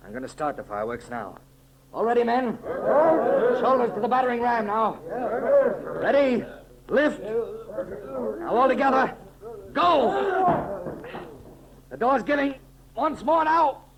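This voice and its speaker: A bold adventurer hero in a 1930s radio serial, his voice pitched high and urgent. He gives orders in a declamatory, commanding way over a loud clamouring crowd on a vintage recording. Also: very animated, takes it slowly, vocal fry, pauses often, heavily acted, rough recording. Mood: rousing, shouting. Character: bold heroic male adventurer, commanding men into battle.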